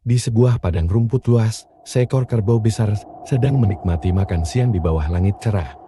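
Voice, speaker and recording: A man narrating scripted children's bedtime stories with a dark, husky voice. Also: lowish voice, normal speed, a little hiss or hum. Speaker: storyteller narrator.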